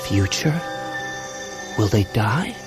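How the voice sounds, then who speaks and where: A man's voice: fairly low pitch, big pitch swings, takes it slowly, over music. A man playing an earnest, impulsive young Jedi apprentice with an urgent manner in a film storybook. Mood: anxious.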